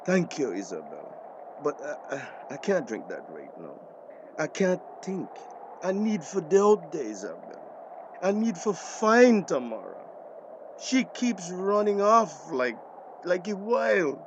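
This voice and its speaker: An actor playing a guilt-ridden, worried older grandfather in a screen drama, halting and repeating himself, with a Belizean accent. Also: high-pitched, big pitch swings, muffled recording, noisy background, heavily acted. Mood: anxious. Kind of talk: acted screen drama dialogue.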